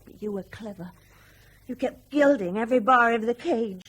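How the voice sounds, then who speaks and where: Bitter, high-voiced, husky wife. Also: female voice, normal speed. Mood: bitter, mocking. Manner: biting, mocking. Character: bitter, sardonic, hard-drinking wife of a pilot. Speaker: actress in a radio drama. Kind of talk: British radio drama acting.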